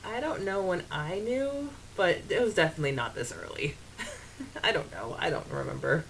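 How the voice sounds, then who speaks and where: A woman co-hosting a podcast, giggly and informal, mixing casual chat with expressive reading aloud. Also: lowish voice, normal speed, gravelly, poor audio. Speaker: podcast co-host. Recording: faint background hiss.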